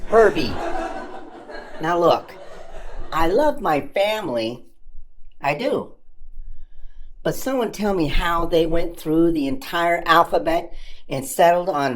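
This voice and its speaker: A woman voicing a cheeky, self-amused puppet comedian who tells stand-up stories with dramatic pauses and exaggerated emphasis. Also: on the low side, noisy background. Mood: playful, wry. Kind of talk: family-friendly stand-up comedy storytelling.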